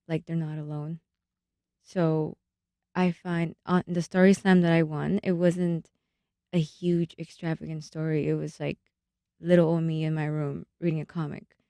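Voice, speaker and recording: A woman's voice: lowish voice, mellow, no room sound. A young woman, a storyteller, chatting earnestly in a radio interview with some hesitation. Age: young adult. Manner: hesitant, earnest, with fillers and restarts. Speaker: storyteller and massage studio owner. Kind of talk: casual interview chat. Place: radio studio.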